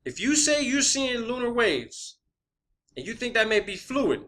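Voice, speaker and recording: A man's voice: extremely high pitch, sing-song, a little slow, pushed and bright. A man giving an informal opinion monologue as a video host, emphatic and combative, often swearing. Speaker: video host presenting own theory.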